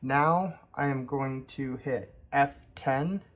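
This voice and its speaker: A man hosting a tech tutorial, calmly explaining each step of a computer walkthrough with occasional ums. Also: medium pitch. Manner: unhurried step-by-step explaining with fillers. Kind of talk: screen-recorded how-to walkthrough.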